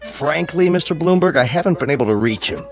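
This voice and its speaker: An actor playing a harried, fawning agent with a wheedling, effusive manner in a comedic radio drama. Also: male voice, fairly high pitch, lively intonation, brisk, over music. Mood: apologetic.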